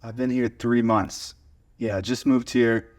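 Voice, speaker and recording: A man's voice: mid-range voice, fairly even intonation, slow. A man in his twenties from Oklahoma with an American accent, talking casually as an interview guest and telling stories. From small town in Oklahoma, USA. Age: 20s. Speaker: podcast guest, video studio owner. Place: converted garage video studio.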